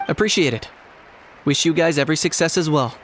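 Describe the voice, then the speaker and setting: Man as a laid-back, higher-voiced young competitor. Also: brisk, fairly bright tone, slight background noise, close to an everyday voice, poor audio. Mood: calm, easygoing. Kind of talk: video game voice acting.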